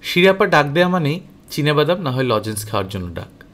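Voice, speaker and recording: A man reading a story aloud as an audiobook narrator, in an expressive, animated way that dramatizes the dialogue. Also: on the high side, fast. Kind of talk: expressive scripted story narration, first-person as a boy.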